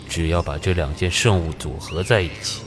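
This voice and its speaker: An actor playing a pompous, theatrical young villain boss in red robes, making grandiose speeches in a fantasy radio drama. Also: male voice, sits low, brisk, background noise, lightly acted. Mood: showy.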